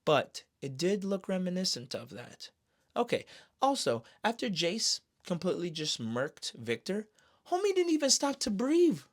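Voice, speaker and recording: A man giving casual, excited fan commentary in a slangy, expressive way, throwing in exclamations. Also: high voice, measured pace. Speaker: streamer reviewing an animated series.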